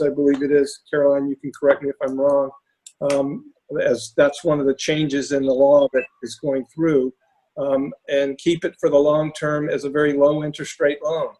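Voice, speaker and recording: A hoarse man with a raspy voice talking through case studies on a video call, halting with many fillers and restarts. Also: a little high, level tone, a little mellow, low-quality recording. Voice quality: raspy, lost voice. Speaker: panelist presenting case studies. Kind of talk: webinar talk.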